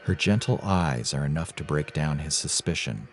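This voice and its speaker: A man's voice: extremely low pitch, expressive, normal speed, a little hiss or hum. A man giving dramatic scripted narration of a science fiction story. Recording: music clearly underneath. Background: music.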